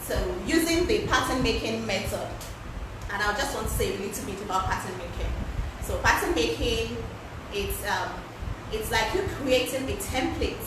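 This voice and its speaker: A woman teaching a pattern-drafting class over a livestream, talking loudly and repeating key words for emphasis. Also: on the high side, lively intonation, normal speed. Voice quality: loud voice. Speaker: fashion pattern-drafting instructor. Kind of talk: live-streamed class, casual instruction. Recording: echoey distant livestream with background noise.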